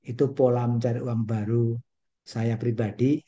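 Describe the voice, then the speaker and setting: A man's voice: medium pitch, steady tone, a little slow, mellow, dull audio. An older man from Indonesia giving an online lecture over a video call, folksy and peppered with English phrases and little rhymes.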